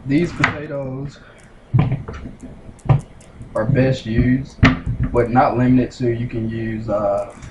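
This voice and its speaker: Young man, home cooking demo, unhurried step-by-step talk, echoey kitchen, loud background noise. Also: medium pitch. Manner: unhurried, explains step by step with many fillers. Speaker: home cook. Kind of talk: casual cooking demonstration. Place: small home kitchen, indoors. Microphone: camera mic at a distance.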